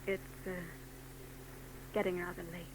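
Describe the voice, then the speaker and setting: An actress playing a troubled young married woman in a 1940s radio drama, soft and hesitant. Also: on the low side, flat delivery, a little slow, lightly acted. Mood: guarded. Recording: old radio broadcast with hum.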